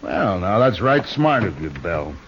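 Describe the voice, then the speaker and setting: An actor playing a cruel, taunting outlaw leader with folksy American Western talk in a 1950s radio drama. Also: male voice, low voice, sing-song, unhurried, fairly bright tone, faint music behind, exaggerated voice. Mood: menacing. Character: menacing drawling male outlaw leader, mocking and cruel. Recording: muffled, noisy 1950s radio recording.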